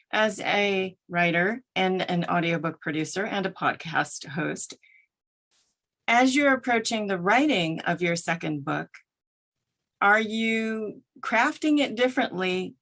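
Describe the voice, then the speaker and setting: A woman's voice: fairly low pitch, fairly bright tone. A woman who works as a narrator and voice actor, talking conversationally and articulately as a podcast guest. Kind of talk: conversational interview talk.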